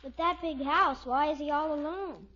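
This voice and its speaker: Chirpy little American boy, high-pitched. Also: normal speed, bright, forceful tone. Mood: curious. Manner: frank, childlike. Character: chirpy, blunt, innocent little orphan boy. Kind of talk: 1950s radio sitcom acting. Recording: muffled, very noisy old radio recording.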